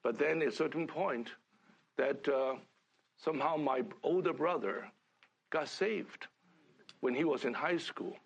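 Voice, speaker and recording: An older man from Hong Kong giving a halting sermon to a church gathering, full of fillers and restarts. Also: on the high side, creaky, breathy edge, dull audio. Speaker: church speaker.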